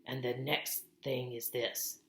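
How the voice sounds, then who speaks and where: A woman voicing a grave, knowing male beaver from a storybook, speaking in an ominous, deliberate way. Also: extremely low pitch, flat delivery, fairly bright tone, roomy sound, slight background noise, full character voice. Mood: grave urgency.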